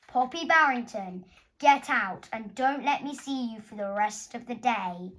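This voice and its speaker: A woman's voice: on the high side, animated, unhurried, bright, forceful tone. A woman voicing a stern, bad-tempered male supply teacher in a storybook, with a barking, commanding delivery. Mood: stern, angry.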